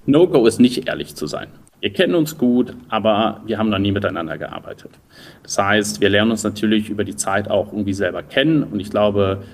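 A man's voice: lowish voice, creaky, slight background noise. A man in his thirties from the German Rhineland, answering interview questions conversationally in a thoughtful way, searching for words. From Düsseldorf, Rhineland, Germany. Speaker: interview guest, entrepreneur.

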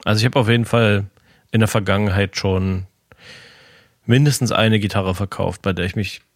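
A man with a Berlin accent telling a relaxed, slangy story as a podcast co-host. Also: on the low side, normal speed, no echo, clean studio sound. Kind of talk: casual chat.

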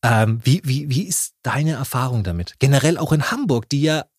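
A man's voice: medium pitch, expressive, moderate pace, husky, no echo, clean studio sound. A man co-hosting a casual podcast, rambling with many restarts and blunt, coarse remarks. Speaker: podcast co-host.

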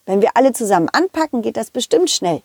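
A woman's voice: fairly high pitch, big pitch swings, quick pace, darkish tone, dry recording, studio quality. A woman voicing a cheerful, loyal male dog who tells his own adventure to children in a chatty, giggly way. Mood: kind. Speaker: storyteller. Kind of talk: children's audio play narration.

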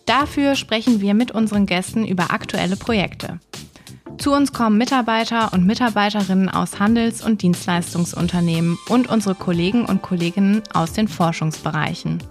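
A woman's voice: mid-range voice, a little fast, soft background music. A woman hosting an interview podcast, introducing and questioning a guest. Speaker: podcast host.

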